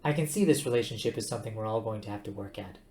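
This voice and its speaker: Guy doing a high, nannying mum-type ship computer, sing-song and bossy, echoey room. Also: rapid-fire, a little mellow, slight background noise, close to an everyday voice. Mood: wounded. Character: fussy motherly female ship computer. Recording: roomy echo.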